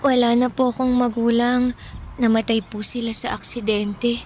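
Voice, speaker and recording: Woman acting meek Filipino teenage street girl, higher-pitched. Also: level tone, moderate pace, noisy background, lightly acted. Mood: sad, subdued. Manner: polite, meek, plainspoken. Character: wary, lonely orphaned fourteen-year-old homeless girl. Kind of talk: Filipino radio drama acting. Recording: music bed under the voice.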